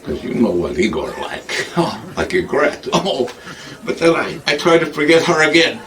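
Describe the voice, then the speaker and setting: A man's voice: fairly high pitch, sing-song, normal speed, fairly bright tone, recorded from a distance. A man performing a comic character named Igor in put-on broken English, rambling and laughing a lot. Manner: broken grammar, frequent laughing. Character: foreign male hunchback-type comic Igor, boastful. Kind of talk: comic monologue.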